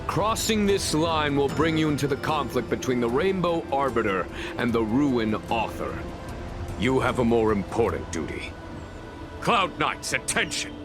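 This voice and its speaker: A man playing a composed veteran general in a game cutscene, speaking in a measured, formal and authoritative way. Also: medium pitch, very animated, music in the background. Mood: commanding. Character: composed veteran male general commanding knights. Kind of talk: video game cutscene acting.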